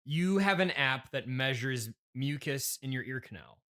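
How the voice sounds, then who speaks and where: A man's voice: medium pitch, lively intonation, normal speed, fairly bright tone. A man hosting a casual improvised comedy interview, asking questions in a dry, skeptical way. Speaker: podcast interviewer.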